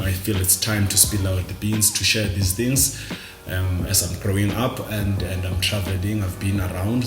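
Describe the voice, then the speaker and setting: Low-voiced South African man, echoey room. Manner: halting, repeats words. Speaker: vlogger. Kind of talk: casual reflective talk to camera. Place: indoors, dim room at night.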